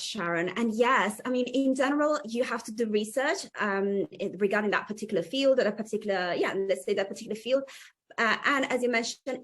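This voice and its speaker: A woman whose first language is Italian, hosting a casual interview chat in an enthusiastic, friendly way with hesitant fillers. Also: mid-range voice. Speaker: podcast host.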